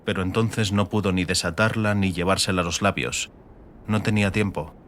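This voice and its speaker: A man's voice: sits low, fairly even intonation, normal speed, fairly bright tone, slight background noise. A man reading a scripted, dramatic fantasy audiobook narration.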